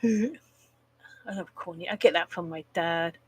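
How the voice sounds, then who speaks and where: Slow-talking, nasal woman. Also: lowish voice, slightly rough. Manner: giggles at her own jokes, swears casually, groans at bad puns. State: slightly nasal from a cold. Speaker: live streamer. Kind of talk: casual live-stream chat telling corny jokes.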